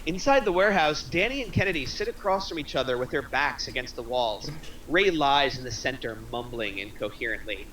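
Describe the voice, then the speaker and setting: Bright-voiced man narrating a screenplay. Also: medium pitch, lively intonation, fairly quick, slight background noise, poor audio. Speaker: narrator reading stage directions.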